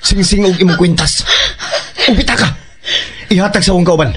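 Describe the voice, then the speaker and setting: A man's voice: high-pitched, fairly quick, a bit bright, breathy edge, exaggerated voice. A man playing a threatening, commanding knife robber in a Filipino Bisaya radio drama. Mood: menacing. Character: menacing male robber holding a woman at knifepoint.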